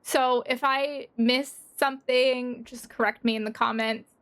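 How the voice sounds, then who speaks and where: High-voiced expressive woman streamer. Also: measured pace. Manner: expressive, exclaiming, frequent "like". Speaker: streamer reacting to a game story. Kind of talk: casual playthrough commentary, reading dialogue aloud. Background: game music.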